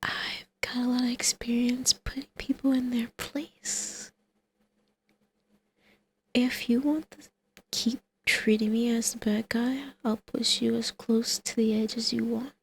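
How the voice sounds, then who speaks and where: A flirtatious villainess acted out by a woman in an ASMR roleplay, speaking in a highish, creaky voice. She is intimate and teasing, often slipping toward a whisper. A faint noise sits behind her. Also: flat delivery, unhurried. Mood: seductive persuasion.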